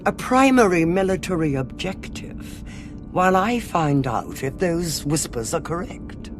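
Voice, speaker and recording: An actress playing a brisk, haughty military cardinal in a science fiction audio drama. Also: female voice, lowish voice, sing-song. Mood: businesslike, resolute. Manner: commanding, clipped, haughty. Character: brisk, imperious female alien cardinal, military commander.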